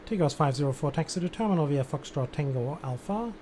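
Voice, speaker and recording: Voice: mid-range voice, animated, fast. A streamer playing air traffic controller in a game, giving clipped standard instructions with casual asides. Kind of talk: gameplay stream, issuing radio-style instructions with casual asides.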